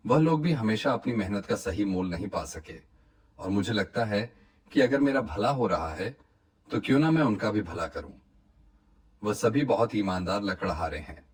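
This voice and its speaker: A man playing an honest, hopeful woodcutter and family man in a cartoon moral story, speaking in an earnest, reassuring, measured way. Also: on the low side, husky. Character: honest, hopeful, hardworking poor woodcutter, husband and father.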